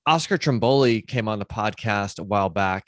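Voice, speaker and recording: A man's voice: lowish voice, animated, moderate pace, no room sound, studio quality. A man hosting a conversational podcast interview, explaining his thoughts in a reflective way. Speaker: podcast host.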